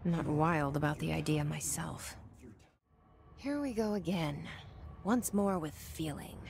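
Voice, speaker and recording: An actress playing a wry, guarded young heroine in a video game, muttering dry, deadpan asides to herself. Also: female voice, low voice, a little slow, gravelly. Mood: wry, weary. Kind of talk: game inner monologue.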